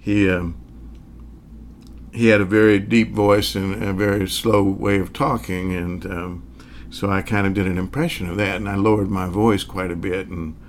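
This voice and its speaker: A man in his sixties, a magician, telling his story in an interview at an unhurried pace, pausing often with fillers and chuckling now and then. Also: deep voice, little pitch movement, a little hiss or hum. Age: 60s. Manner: hesitant, many pauses and fillers; reflective, chuckles as he talks.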